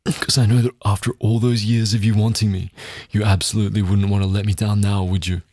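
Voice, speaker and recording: Husky, low-voiced domineering man. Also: flat delivery, a little fast, darkish tone, no room sound, studio quality. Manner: dominant and taunting, low intimate delivery; frequent heavy breaths and muttered exclamations. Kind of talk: scripted adult audio roleplay.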